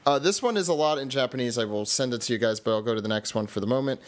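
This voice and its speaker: A man's voice: mid-range voice, rapid-fire. A man hosting a podcast, reading listener questions and keeping the chat moving in an easygoing way. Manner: easygoing, moderating. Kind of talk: casual chat.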